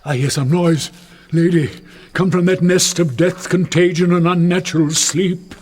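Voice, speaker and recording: A man's voice: on the high side, darkish tone. An older man playing a fearful, pious old friar in classical Shakespearean radio drama, with anxious, declamatory delivery. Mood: urgent fear.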